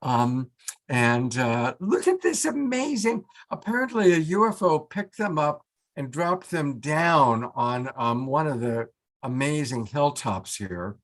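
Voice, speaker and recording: A man's voice: medium pitch, expressive, slow, fairly bright tone. A man hosting a casual live show over a video call, enthusiastic and joking as he chats. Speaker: show host.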